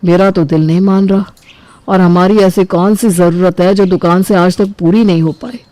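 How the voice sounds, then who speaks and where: Woman voicing mellow, earnest eldest brother. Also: on the low side, steady tone, moderate pace, no echo, close to an everyday voice. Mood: troubled, earnest. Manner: earnest, firm. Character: principled eldest brother, sentimental middle-aged family shopkeeper. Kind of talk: acted dialogue in a Hindi cartoon family story.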